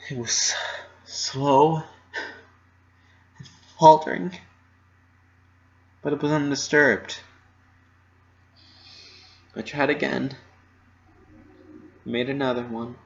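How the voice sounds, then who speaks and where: Male amateur story reader, unhurried and creaky, dramatic and emotional, halting phrasing, lo-fi sound. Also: medium pitch, little pitch movement. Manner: emotional, halting first-person narration. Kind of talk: dramatic reading of fan fiction.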